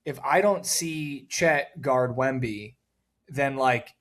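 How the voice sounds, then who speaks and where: A man co-hosting a casual sports talk show, rambling and repeating words, with a loud voice that cuts through noise. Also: medium pitch, slow pace. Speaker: podcast co-host.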